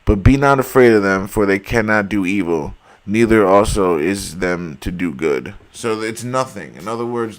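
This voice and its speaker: A man talking casually and emphatically to his viewers, saying he is losing his voice. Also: deep voice. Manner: emphatic, argumentative, addresses viewers as guys. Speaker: video host.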